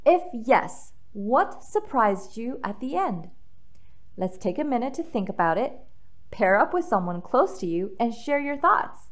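A woman hosting an expressive read-aloud for young children, addressing them directly in an animated way. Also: on the high side, measured pace. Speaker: children's story reader and host.

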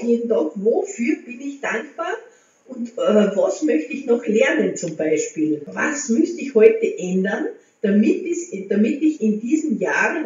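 A woman, chatting to camera at home as a motivational vlogger and gesturing as she talks. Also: medium pitch. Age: 60s. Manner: chatty, gestures with hands, warm encouraging tone. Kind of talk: casual talk to camera. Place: living room at home. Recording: very echoey room, slightly dull sound.

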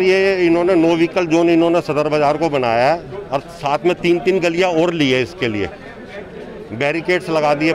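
A man, a trader, earnestly pleading his case into a handheld news mic on an outdoor market street. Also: on the high side. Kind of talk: street interview.

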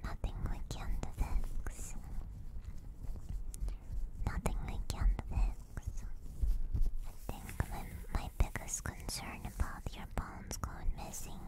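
A woman whispering gently and breathily in an ASMR livestream, close to a binaural microphone. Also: slow pace, pauses often. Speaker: streamer, ASMR host. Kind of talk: whispered ASMR roleplay and chat.

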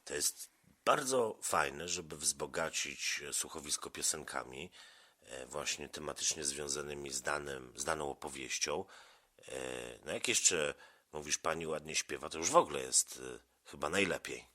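A man's voice: very deep voice, normal speed, pushed and bright. A man speaking casually as an interviewer, asking questions in an encouraging, affirming manner. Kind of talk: casual interview with a child about an audio story.

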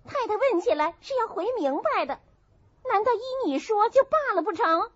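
A woman voicing a bossy, sharp-tongued young maidservant in an audiobook, scolding indignantly, in a muffled recording. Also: very high-pitched, animated, moderate pace, voice pushed up. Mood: indignant, urgent.

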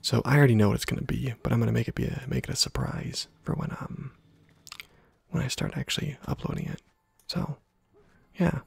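A man giving soft-spoken ASMR commentary over a video game, husky and often near a whisper, in a rambling, self-deprecating way. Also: medium pitch, brisk, no room sound. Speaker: host of a gameplay video channel.

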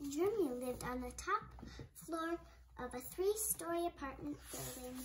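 Child storybook reader, very high voice, halting delivery, noisy home recording. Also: animated. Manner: halting reading with repeats and self-corrections. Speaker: storybook reader, channel host. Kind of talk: reading a picture book aloud with comments.

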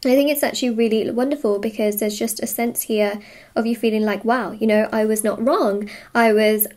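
A woman's voice: a little high, steady tone, fairly quick, darkish tone. A woman giving a casual, unscripted tarot reading, musing aloud and rephrasing herself as she goes. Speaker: tarot reader and host. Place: indoors at a wooden table.

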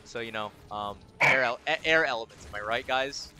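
A man with a deep voice chatting casually on a live game stream, rambling and stop-start, laughing often. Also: brisk, pushed and bright. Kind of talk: casual live-stream chat while gaming.

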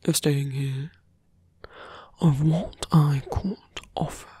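Man acting a husky, whispering seductive villain. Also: fairly high pitch, steady tone, dark tone, dry recording, full character voice. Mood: coaxing. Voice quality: breathy, husky. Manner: slow, coaxing, lingering pauses. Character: seductive, brooding male fantasy villain wooing a hero. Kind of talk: intimate ASMR roleplay, close whispering.

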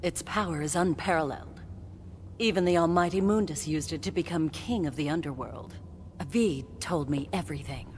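An actress playing a composed, knowing warrior woman in a video game cutscene, with a measured, grave manner. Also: low voice, a little hiss or hum, natural voice.

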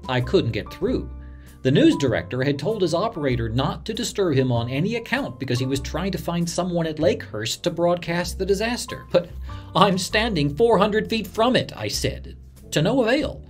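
A man's voice: high-pitched, sing-song, moderate pace, faint music behind. An actor playing an earnest, somber male radio reporter recalling a disaster in a scripted documentary reenactment.

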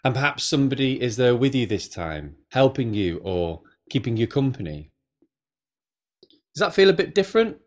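Male preacher speaking at a middle pitch. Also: big pitch swings, normal speed. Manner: engaging, direct, asks rhetorical questions. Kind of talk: all-age sermon, addressing kids and adults. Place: small plain indoor room.

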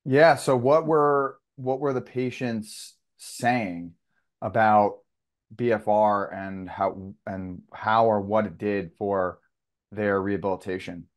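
A man's voice: fairly low pitch, fairly even intonation, takes it slowly. A young man hosting a podcast interview, asking rambling questions and restarting them as he goes, over a video call. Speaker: podcast host.

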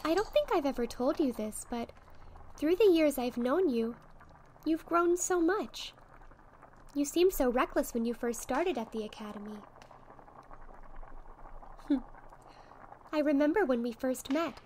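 A woman playing a sweet, encouraging young witch in an ASMR roleplay, speaking in a gentle, soothing manner. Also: high-pitched, expressive, a little slow, pauses often, slight background noise. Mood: reassuring. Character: sweet, encouraging young witch tutoring her shy friend. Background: soft potion bubbling and fizzing effects.

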